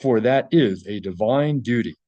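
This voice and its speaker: A man's voice: mid-range voice, animated, moderate pace, dark tone, dry recording, muffled recording. A man reading a translated article aloud and adding his own informal commentary.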